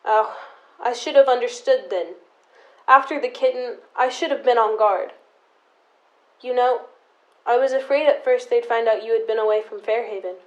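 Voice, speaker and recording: A young woman playing a stubborn, embittered, protective sister in an audio drama, with deliberate, resentful delivery. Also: on the high side, close to an everyday voice, low-quality recording. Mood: brooding. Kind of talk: high school audio drama acting.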